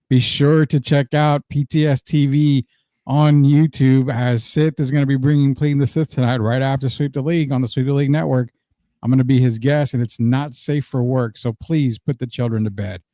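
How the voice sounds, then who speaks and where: Mellow-voiced man hosting casual sports talk. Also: mid-range voice, dry recording, studio quality. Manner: loose, slangy, conversational. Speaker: sports show host. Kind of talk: casual live-stream sports chat.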